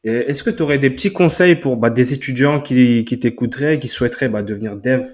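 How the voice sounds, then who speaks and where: A man from France hosts a tech interview. He speaks at an average pitch and asks his questions in a friendly, conversational way. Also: a little fast. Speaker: podcast host. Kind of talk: casual interview chat.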